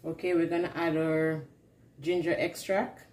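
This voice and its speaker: A woman's voice: low voice, flat delivery, measured pace, darkish tone. A woman hosting a cooking vlog, giving a casual recipe demonstration in a home kitchen. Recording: roomy kitchen echo with faint hiss.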